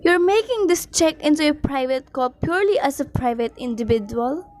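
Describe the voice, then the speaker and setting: Woman acting formal phone official, lowered voice. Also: lively intonation, a little mellow. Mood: officious, doubtful. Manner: measured, bureaucratic, detached. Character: cool, formal, by-the-book telephone company official. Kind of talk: amateur radio drama acting.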